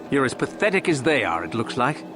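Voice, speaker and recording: A man's voice: medium pitch, very animated, moderate pace, noisy background, natural voice. A man playing a sadistic, haughty Sith instructor in a video game, mocking and theatrical. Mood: exasperated, contemptuous. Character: sneering, sadistic Sith instructor, contemptuous bully. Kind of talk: video game villain acting.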